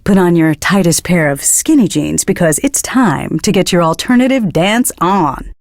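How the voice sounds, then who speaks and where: An actress playing a young, earnest and smugly pretentious hipster DJ in a video game, talking between songs. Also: female voice, lowish voice, animated, moderate pace, darkish tone, no echo, clean studio sound. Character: pretentious young hipster indie radio DJ woman, earnest and smug. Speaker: radio DJ. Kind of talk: radio DJ patter between songs.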